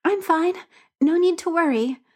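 A man's voice: very high-pitched, a little slow, pitched above the performer's own voice. A woman voicing a shy, self-doubting young man who answers hesitantly in a storybook reading. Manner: hesitant, distracted.

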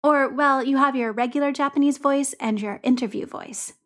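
A woman voicing a bright, easygoing young man who teases his friend cheerfully in a storybook reading. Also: extremely high pitch, steady tone, normal speed. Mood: playful. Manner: teasing, cheerful.

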